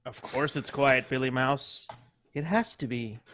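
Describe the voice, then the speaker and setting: A man's voice: medium pitch, unhurried, a little hiss or hum, pitched below the performer's own voice, natural voice. A man putting on a bossy mother mouse who scolds her children in a live old-time radio play reading. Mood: scolding. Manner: loud, scolding and stern.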